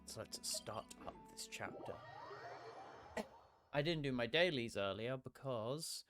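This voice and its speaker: A man's voice: mid-range voice, animated, a little slow. Young man, streamer, sore throat from a cold, laid-back swearing chat, faint music behind. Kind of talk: casual game stream chat.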